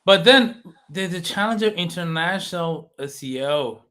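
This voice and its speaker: A man's voice: on the high side, moderate pace. A man whose first language is Persian, hosting a casual interview and telling stories with frequent laughs and acted-out quotes. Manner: animated storyteller, laughs often, acts out quotes.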